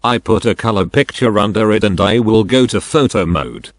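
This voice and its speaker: An older man who is a non-native English speaker, giving a deliberate, scripted step-by-step product demonstration. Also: on the low side, no echo. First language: not English. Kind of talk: scripted instructional narration.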